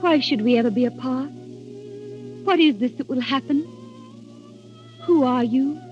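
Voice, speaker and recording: A dreamy young woman in love, earnest and soulful. Her voice is on the high side and her speech formal. The old radio recording is dull, with music underneath. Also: measured pace. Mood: searching, uneasy. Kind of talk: 1930s radio drama acting.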